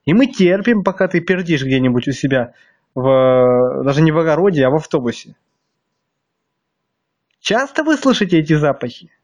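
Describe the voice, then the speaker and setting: A man's voice: fairly high pitch, very animated, moderate pace, long pauses, a little mellow. Blunt man ranting with a stuffy nose. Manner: blunt, crude, hammering rhetorical questions. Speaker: video blogger. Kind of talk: ranting monologue to viewers.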